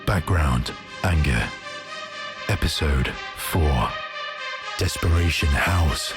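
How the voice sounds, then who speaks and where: A man's voice: extremely low pitch, lively intonation, takes it slowly. A man reading a scripted series intro as announcer, ominous and theatrical. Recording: loud music over the voice.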